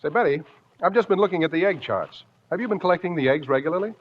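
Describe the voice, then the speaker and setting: A man's voice: on the high side, lively intonation, fast talker. A man playing a cocksure, bumbling American farmer husband in an old-time radio comedy, confidently lecturing and hemming. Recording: dull, echoey old radio recording with faint noise.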